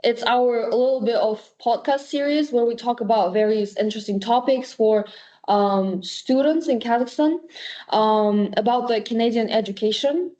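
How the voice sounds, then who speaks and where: A woman's voice: fairly high pitch, normal speed, mellow. A teenage girl hosting an online student panel, hesitant, with many fillers, on muffled video-call audio. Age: teens. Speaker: student host.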